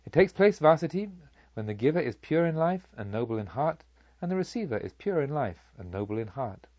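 A man voicing a serene Buddha, a kindly sage who teaches in a measured, solemn, rhetorical way in an audiobook. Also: mid-range voice, very animated, a little mellow, no echo, dull audio. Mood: gracious, solemn. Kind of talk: audiobook character speech.

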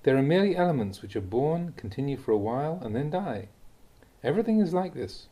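A man, a monk, reading aloud a Buddhist teaching in a calm, deliberate way. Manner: calm, deliberate reading with lively pitch on key words. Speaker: monk reading Dhamma talks.

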